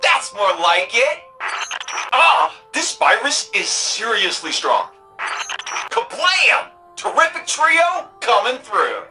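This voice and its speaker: An actor playing an excitable, cheeky young tech-whiz sidekick in a video game, with a slangy, playful manner. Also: mid-range voice, takes it slowly, faint music behind, full character voice. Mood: excited. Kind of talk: video game character dialogue.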